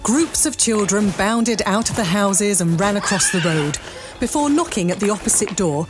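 A woman tells a story as narrator of an audio drama, in a dramatic scripted style. Her pitch is average, and music plays clearly behind her. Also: lively intonation, moderate pace.

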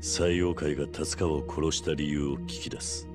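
A man's voice: extremely low pitch, fairly even intonation, moderate pace, music in the background. A male actor playing a stern, resolute middle-aged yakuza veteran in a video game, speaking in terse, grave, blunt phrases. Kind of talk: dramatic video game acting.

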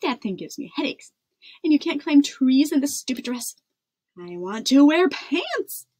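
Woman voicing a whiny, defiant little princess, high-pitched. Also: big pitch swings, unhurried, a little mellow. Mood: indignant. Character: loud, stubborn, rebellious young princess girl. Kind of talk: storybook character voice.

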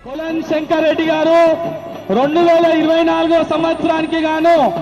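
A man announcing publicly as an auctioneer, in loud chanting calls. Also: extremely high pitch, monotone, normal speed. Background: music.

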